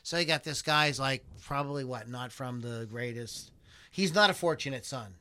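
Bright-voiced rambling man. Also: medium pitch, normal speed. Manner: rambling with many fillers and restarts, profane. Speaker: podcast host. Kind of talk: casual chat, reading lyrics aloud.

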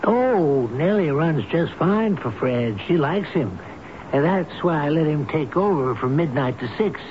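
High-voiced, devoted old elevator man. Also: lively intonation, measured pace. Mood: proud, fond. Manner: earnest, folksy, protesting. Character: devoted, stubborn seventy-year-old elevator man, sentimental. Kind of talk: radio drama acting. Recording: dull old radio broadcast, faint noise.

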